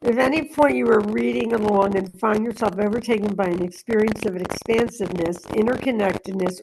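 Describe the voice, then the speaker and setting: A woman with noticeable creak in her voice leads a study group. She reads aloud and comments in a casual, conversational way. The recording has clear background noise with small clicks. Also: mid-range voice. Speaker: reader leading a study group. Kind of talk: reading aloud and casual discussion.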